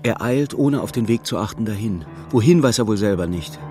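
A man narrating a literary radio play in a measured, precise, wry storytelling manner. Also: fairly low pitch, lively intonation, dark tone, faint music behind, close to an everyday voice.

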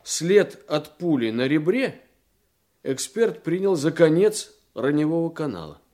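A man's voice: fairly high pitch, very animated, a little slow, dark tone. An actor playing an earnest, diligent detective in a Russian radio drama, explaining things eagerly and deferentially to his superior.

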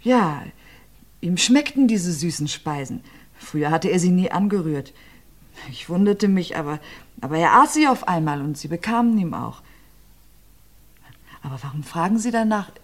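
An actress playing an abused widow being questioned about her husband's death, with hesitant, halting answers that often sink to a near whisper. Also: expressive. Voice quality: low, subdued, often drops to a near whisper. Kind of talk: radio crime play.

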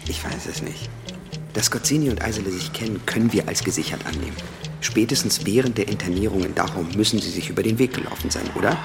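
Low, gravelly, probing male investigator, played by an actor. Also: fast talker, lightly acted. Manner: methodical, probing, rhetorical questions. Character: sober, probing male investigator laying out a conspiracy. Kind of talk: radio drama dialogue. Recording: studio radio drama with music underneath. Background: music.

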